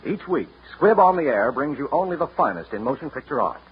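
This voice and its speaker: A man's voice: a little high, sing-song, brisk, husky. An American man announcing in formal, declamatory 1940s radio style, reading a scripted sponsor introduction. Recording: dull vintage radio recording with music. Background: music.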